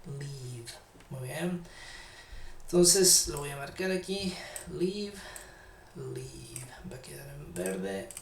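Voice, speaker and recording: A man with a Chilean Spanish accent teaching English grammar in a live online class, patiently repeating English example sentences slowly. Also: mid-range voice, animated, gravelly, roomy sound, recorded from a distance, slight background noise.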